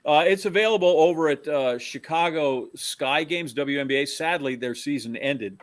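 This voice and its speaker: A man's voice: on the high side, moderate pace, slight background noise. A middle-aged man co-hosting a podcast with wry, teasing banter in a casual style. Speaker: podcast co-host.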